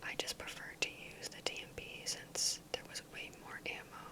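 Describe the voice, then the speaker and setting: A woman speaking entirely in a soft, breathy whisper, giving a measured, reflective ASMR talk as a gaming video creator.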